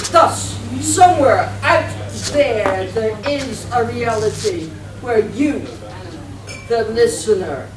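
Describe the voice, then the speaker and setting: A woman narrating a live radio play as compere, in a theatrical, declamatory manner. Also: a little high. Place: convention hall before an audience. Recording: echoey, noisy live hall, distant mic.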